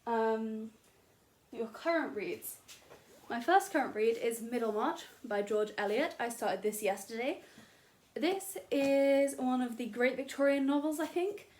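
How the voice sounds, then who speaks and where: A woman's voice: a little high, a little slow, a little mellow. A young woman chatting casually to camera about books in her bedroom, rambling and self-deprecating with lots of fillers. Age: young adult. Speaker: booktuber. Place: bedroom at home.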